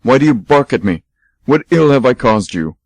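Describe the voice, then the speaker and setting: A serene little stone Buddha from a radio play, as a man puts him on, speaking in solemn, declamatory tones. His voice carries at a middle pitch and sounds dry and close. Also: animated, moderate pace, no echo. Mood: exasperated.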